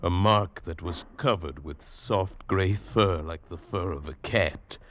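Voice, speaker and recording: Haunted low-voiced man, old radio. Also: noisy background. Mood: grim resolve. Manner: intense, halting, dramatic. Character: haunted, desperate Englishman recounting his doom. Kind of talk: 1940s radio drama acting with brooding narration. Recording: dull vintage radio recording with music.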